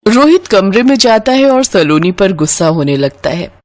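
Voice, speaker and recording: A woman's voice: mid-range voice, big pitch swings, normal speed, a little mellow. A woman giving scripted narration of a moral family story as its storyteller. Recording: voice over prominent music.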